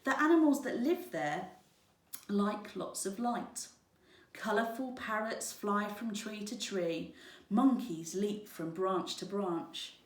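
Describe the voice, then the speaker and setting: Female storybook narrator, teacherly, deliberate unhurried pace, distant roomy recording. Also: medium pitch. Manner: deliberate, expressive read-aloud. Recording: roomy, echoing, distant microphone.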